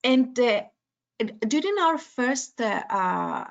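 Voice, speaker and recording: A woman from Greece with a Greek accent, a neurologist giving a medical lecture webinar over a video call, pausing often on uh and um. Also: high-pitched, big pitch swings, muffled recording. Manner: hesitant, many uh and um fillers.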